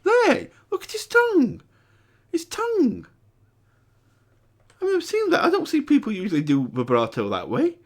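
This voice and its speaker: A man with a cold and a croaky voice, hosting a music reaction video with casual, excitable commentary that jumps to high exclamations. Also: normal speed, pauses often. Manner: excitable, breaks into high exclamations and sung demonstrations. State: hoarse from a cold. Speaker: music reaction channel host.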